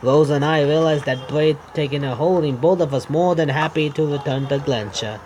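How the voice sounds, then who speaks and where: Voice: mid-range voice, normal speed, darkish tone. Stumbling game streamer over background noise. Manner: stumbles over words, corrects misreadings, swears. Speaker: gaming streamer reading a visual novel aloud. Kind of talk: let's play narration with casual asides.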